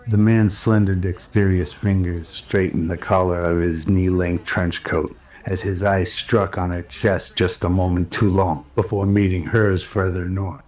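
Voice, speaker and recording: A man reads scripted radio drama narration. His voice is very deep and stays flat and even from phrase to phrase. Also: measured pace, few pauses.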